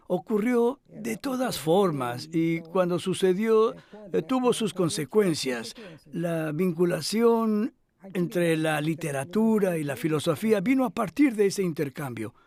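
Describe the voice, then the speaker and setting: A man dubbing an elderly male scholar who recalls his past calmly, in measured speech with hesitant pauses. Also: high-pitched. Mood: reflective. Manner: measured, thoughtful, with hesitant fillers. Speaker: dub voice of an interviewee. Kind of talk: voice-over dub of an interview.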